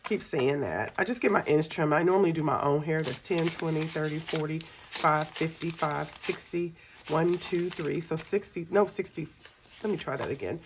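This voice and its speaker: A middle-aged woman, a scratchy voice from a cold, chatting casually with many ums and counting money aloud. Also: low-pitched. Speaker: budgeting channel host. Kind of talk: casual chat while cash stuffing.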